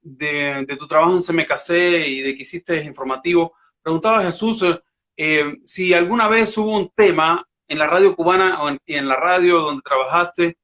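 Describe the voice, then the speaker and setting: A man's voice: fairly high pitch, normal speed, bright, forceful tone. A man hosting a radio interview, asking questions in a conversational way with long lead-ins. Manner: conversational, prefaces questions at length. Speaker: radio show host.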